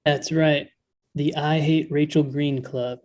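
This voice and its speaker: A man's voice: a little high, level tone, slow pace, a little mellow. A man playing a blunt, dismissive, jaded character in a comedic table read.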